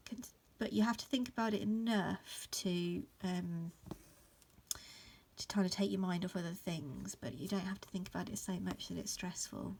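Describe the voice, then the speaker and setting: A woman in her thirties with a croaky voice from hay fever, casually talking through an embroidery tutorial at home, full of ums and restarts. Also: lowish voice, normal speed, slight background noise. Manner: relaxed, many fillers and restarts. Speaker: embroidery teacher. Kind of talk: casual stitch-along tutorial. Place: upstairs room at home.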